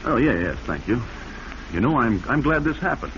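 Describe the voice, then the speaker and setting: A man's voice: medium pitch, very animated, moderate pace. An actor playing a discontented, scheming man in a 1940s radio drama. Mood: polite, affable. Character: discontented, scheming man coveting his rich aunt's money. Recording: muffled, noisy 1940s radio recording.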